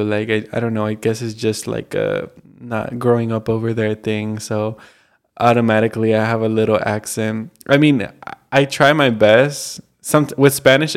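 A man's voice: lowish voice, clear, resonant tone. A young man hosting a casual solo podcast, rambling with lots of fillers. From United States, Colombian family. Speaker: podcast host.

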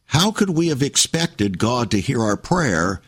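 A man's voice: medium pitch, sing-song, measured pace, fairly bright tone, husky. A man hosting a radio show, who leads the conversation with questions and sums up his guest's points. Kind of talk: conversational interview.

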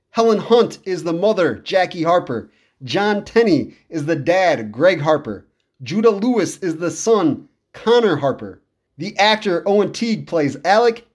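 Higher-voiced man chatting solo. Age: 30s. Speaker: podcast host. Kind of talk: casual solo commentary on films and shows.

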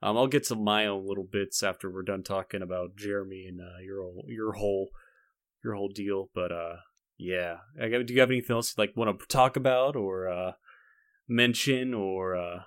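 A man's voice: on the low side, brisk, a bit bright, no echo, clean studio sound. A man hosting a podcast in casual chat, talking in a rambling, hesitant way. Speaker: podcast host.

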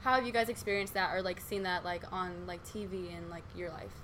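A woman's voice: lowish voice, steady tone, brisk, fairly bright tone. Giggly teenage girl hosting, noisy room. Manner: giggly, chatty, lots of fillers. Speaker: student host. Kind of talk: casual chat.

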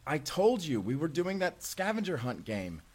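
A man playing an excitable, friendly companion in a science fiction audio roleplay, speaking casually and expressively. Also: fairly high pitch, normal speed. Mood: puzzled, uneasy. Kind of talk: immersive first-person audio roleplay acting.

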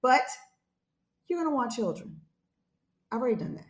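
Animated woman in her forties, echoey room. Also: fairly high pitch, normal speed. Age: 40s. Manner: animated, emphatic, frank. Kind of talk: candid casual chat.

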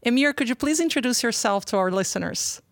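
A woman's voice: on the high side, animated, fairly quick, no echo. Polished woman podcast host, dry sound. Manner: polished, reads prepared lines. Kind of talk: scripted interview questions.

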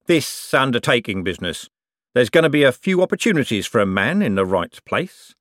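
A man voicing a gruff, rich businessman, who talks slowly and boastfully. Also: medium pitch, sing-song, fairly bright tone, dry recording, studio quality, voice pushed up. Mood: scornful. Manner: boastful, blunt, slow and emphatic. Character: gruff rich working-class male businessman.

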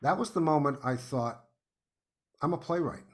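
A middle-aged or older American man from New York City, chatting casually as an interview guest and telling anecdotes in an animated way. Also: on the high side, moderate pace. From New York City, United States. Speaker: podcast guest, playwright.

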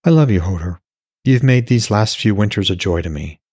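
Man voicing a gentle, earnest young woman. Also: on the low side, animated, a little fast, husky, no room sound, clean studio sound. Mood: loving. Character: gentle young woman, loving, sad yet resolute. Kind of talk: fantasy audiobook character dialogue.

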